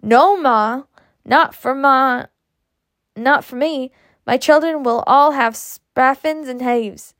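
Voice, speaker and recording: A woman's voice: sits high, slow pace, a bit bright, no echo, studio quality. A woman voicing a blunt, stubborn, boastful horse-breeding matron in a storybook, talking emphatically. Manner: emphatic, talkative.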